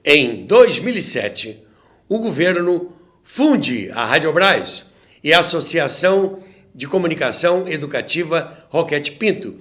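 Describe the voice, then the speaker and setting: A man's voice: on the high side, big pitch swings, a little slow, a bit bright. A man with a Brazilian accent narrating a documentary in a conversational, storytelling way, with asides to the listener. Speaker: host and narrator of a radio history show.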